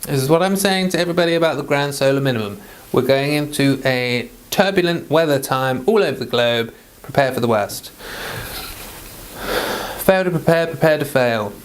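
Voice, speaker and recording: A man's voice: mid-range voice, normal speed, clear, resonant tone. A man who hosts and reads aloud, moving between casual chat and scripture. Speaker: host and scripture reader.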